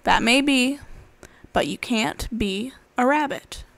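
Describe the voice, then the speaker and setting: A woman's voice: on the high side, takes it slowly, gravelly, a bit bright, exaggerated voice, rough recording. A woman voicing a sensible, patient, teasing parent frog in a children's storybook, firm and emphatic. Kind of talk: animated children's storybook read-aloud.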